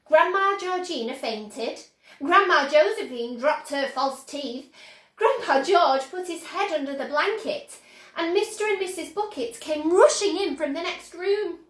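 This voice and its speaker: A woman storyteller with a high voice reads to children. Her narration is expressive and slow-paced, with the echo of a room around it. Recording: roomy, echoing indoor sound.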